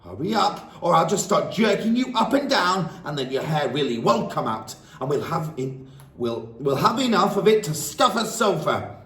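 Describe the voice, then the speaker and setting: A man's voice: a little high, big pitch swings, pitched above the performer's own voice. Man playing a towering female headmistress tyrant: raised, swooping, bellowed threats; storybook reading from a distant mic in a roomy space. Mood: bellowing. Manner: bellowing, barking, drawn-out threats.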